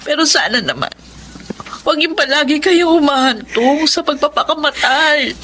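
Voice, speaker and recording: A woman's voice: high-pitched, expressive, moderate pace, fairly bright tone. An actress playing a loving Filipino daughter who grieves her mother and pleads with her father, in a radio drama. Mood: pleading. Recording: music bed under the voice.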